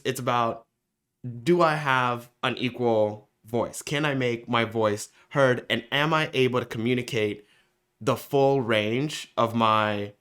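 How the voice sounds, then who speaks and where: A man, a community organizer, answering interview questions in a thoughtful, halting way with frequent pauses. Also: medium pitch, fairly bright tone. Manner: thoughtful, many fillers and restarts.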